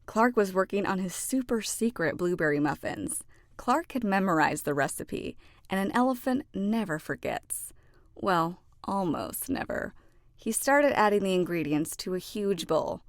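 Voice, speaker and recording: A woman narrating a children's storybook expressively, with deliberate phrasing and dramatic emphasis. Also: on the low side, no room sound, studio quality. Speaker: children's book read-aloud narrator.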